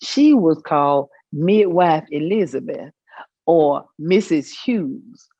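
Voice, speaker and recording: A woman's voice: low voice, lively intonation, slow pace, warm tone, breathy edge, dry recording, muffled recording, full character voice. An actress playing an elderly Southern grandmother in a staged reading, reminiscing in a folksy way with thoughtful hums. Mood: plainspoken reminiscing. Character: elderly Southern grandmother recalling her midwife mother. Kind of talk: staged reading of oral history monologue.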